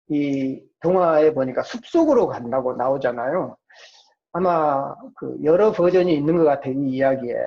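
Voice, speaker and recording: Higher-pitched man lecturing, muffled audio. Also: gravelly, a little mellow. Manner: conversational, asks and answers own questions. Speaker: lecturer, psychology professor. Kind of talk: informal lecture to students, explanatory with rhetorical questions.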